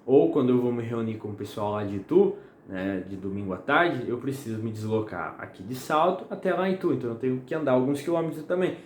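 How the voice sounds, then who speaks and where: A young man from the São preaches at home, slightly hoarse, in a chatty, friendly way that keeps checking in with his listeners. His voice rings in an echoey room with a faint hiss underneath. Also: mid-range voice, fast talker, few pauses. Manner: chatty and friendly, asks "tá?" often. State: slightly hoarse and sweating in the heat. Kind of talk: casual home-recorded sermon. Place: home at night.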